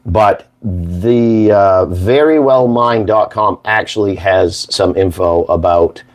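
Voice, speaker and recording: A hoarse man hosts a casual morning chat, rambling along with folksy jokes. His voice sits a bit low. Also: slow pace. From Maine, United States. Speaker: host.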